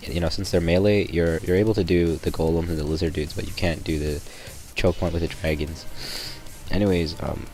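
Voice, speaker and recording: A young man with a raspy voice, sick, gives a rambling gameplay walkthrough in a very low register. Loud music plays behind his voice-over. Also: fairly even intonation, a little fast. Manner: rambling, many ums and restarts. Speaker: gameplay commentator. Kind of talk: casual gameplay tutorial voice-over.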